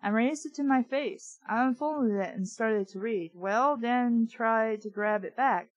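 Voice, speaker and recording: High-voiced woman reading and critiquing a storybook. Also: measured pace, dry recording, highs cut off. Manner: opinionated, nitpicking. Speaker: amateur reader and commentator of children's books.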